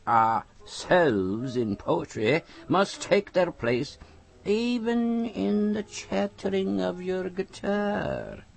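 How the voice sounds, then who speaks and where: Guy reading poems real slow and dramatic, pitch goes all over the place. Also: lively intonation, vocal fry. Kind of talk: poetry recitation. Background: music behind the voice.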